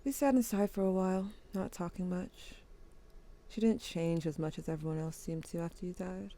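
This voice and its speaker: A woman's voice: lowish voice, fairly even intonation, moderate pace, warm tone, close to an everyday voice. A woman voicing a grieving teenage boy who writes to his dead brother, wry and bitter, with a subdued tone. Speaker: narrator. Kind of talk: audio drama monologue.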